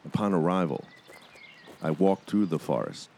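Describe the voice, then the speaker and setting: Male podcast host, fairly deep voice, dramatic scripted storytelling, first-person narration, noisy backdrop. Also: expressive, normal speed, darkish tone.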